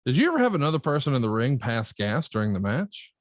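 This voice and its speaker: A man's voice: medium pitch, big pitch swings, fast, no echo, studio quality. A man hosting a podcast in a casual, conversational style, explaining things with a wry touch. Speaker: podcast host reading listener questions.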